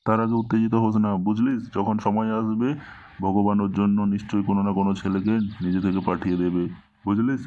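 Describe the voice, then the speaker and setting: A man's voice: lowish voice, level tone, fast talker, lightly acted. A man voicing a domineering, scolding village tantric holy man in a Bengali comedy cartoon, with a preachy manner. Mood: preachy, commanding. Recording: dull lo-fi, very dry cartoon dub.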